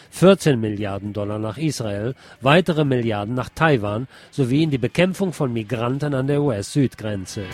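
Male radio host reading news pieces aloud, measured, dry sarcastic asides, soft music under him. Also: medium pitch.

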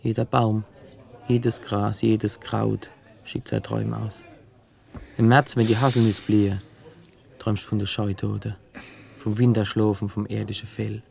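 Gravelly rustic country seer. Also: male voice, on the low side, little pitch movement, measured pace, pauses often, darkish tone. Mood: mystical, incantatory. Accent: southern German rural dialect. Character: poor rustic country seer, brooding, mystical, stubborn. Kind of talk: German radio play acting.

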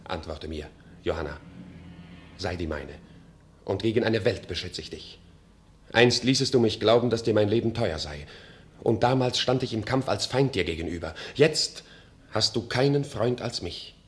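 A man's voice: fairly low pitch, expressive, moderate pace, echoey room, close to an everyday voice. A man playing a proud, passionate, scornful commander in a classical radio drama, declaiming emphatically. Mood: ardent. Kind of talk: classical German stage-style radio drama.

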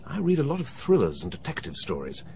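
Give me a brisk, lively, unruffled gentleman banker. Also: medium pitch, vocal fry, slight background noise. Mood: breezy, amused. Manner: breezy, understated, self-deprecating. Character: unruffled, genial middle-aged male banker of high standing. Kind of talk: BBC radio drama acting.

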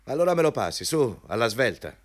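Capo pomposo e prepotente, voce molto mobile. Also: male voice, mid-range voice, very animated, a little fast. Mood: imperious, impatient. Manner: pompous, hectoring, switching between scolding and ingratiating. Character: overbearing, self-important male company boss, obsequious to power. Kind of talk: Italian radio drama acting.

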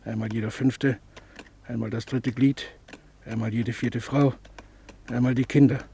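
An actor playing a weary, guilt-ridden male camp survivor in a radio play, speaking haltingly with many pauses. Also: mid-range voice, fairly even intonation, vocal fry, dark tone, a little hiss or hum. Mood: haunted.

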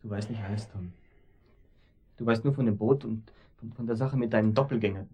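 Guilt-ridden man, husky and halting. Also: on the low side. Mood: desperate. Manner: halting, repetitive, often hushed. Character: guilt-ridden, distraught, wavering man, desperate to confess. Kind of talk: naturalistic radio drama acting.

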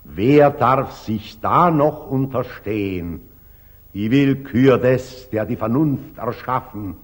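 Pompous deep-voiced old patriarch. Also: male voice. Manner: preaching, grandly condescending. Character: pompous, unctuous, zealous elderly church patriarch. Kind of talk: 1940s German radio drama acting. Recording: old muffled 1940s radio recording.

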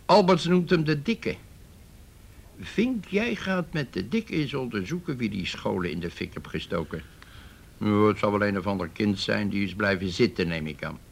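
An actor playing a gruff, irreverent police detective in a radio play, blunt and sardonic. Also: male voice, on the low side, lively intonation, normal speed, a little hiss or hum. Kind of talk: Dutch radio play acting.

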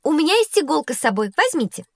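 A woman's voice: high voice, big pitch swings, fast, no room sound, clean studio sound. A woman playing an inquisitive, polite and enthusiastic schoolgirl in a scripted educational audio drama. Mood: polite, intrigued.

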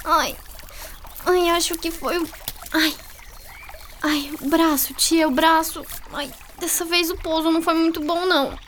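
A woman's voice: very high voice, steady tone, a little slow, pauses often, fairly bright tone, slight background noise. A woman playing a feisty, complaining young girl in a children's audio drama. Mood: in pain. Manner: complaining, exclamatory, colloquial. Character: Laura, whiny, feisty young girl who loves food.

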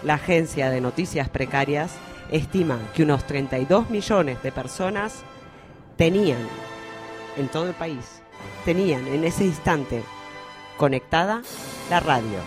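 A man's voice: on the high side, a little slow, music in the background, natural voice. A man playing an ominous prologue orator in a radio play, grandiose and melodramatic, warning of sinister invaders. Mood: grave foreboding. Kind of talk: theatrical scripted narration, War of the Worlds parody.

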